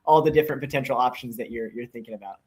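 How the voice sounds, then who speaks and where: A man's voice: medium pitch, rapid-fire, darkish tone. A man hosting a podcast, asking a guest questions in an upbeat, encouraging way. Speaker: podcast host. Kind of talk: podcast interview.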